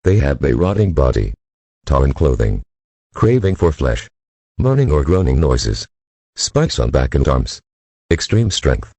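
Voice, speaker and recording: A man's voice: very deep voice, very animated, measured pace, a little mellow, husky, no room sound. A man delivering a scripted emergency broadcast announcement in a stern, formal, synthetic-sounding manner.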